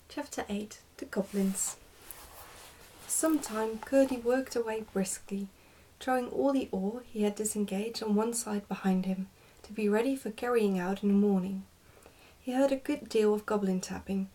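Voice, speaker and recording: A woman narrating in a soft, breathy, often whispered ASMR style, with gentle and careful delivery. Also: mid-range voice, rough recording. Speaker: ASMR host reading a book aloud.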